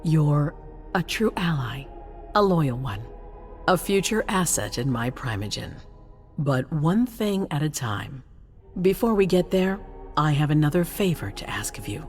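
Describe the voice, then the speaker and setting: An actress playing a grave, resolute vampire prince in a game cutscene, speaking formally with measured authority. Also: female voice, sits low, big pitch swings, darkish tone, soft background music. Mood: measured, persuasive. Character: commanding female vampire prince, grave, resolute city ruler. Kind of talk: dramatic video game cutscene acting.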